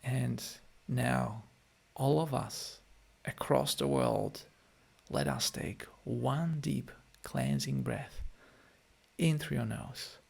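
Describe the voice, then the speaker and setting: A man's voice: mid-range voice, very slow, creaky, breathy edge. A man with a Polish accent, a meditation teacher leading a guided meditation and a live-stream chat, speaking to listeners in short, direct phrases.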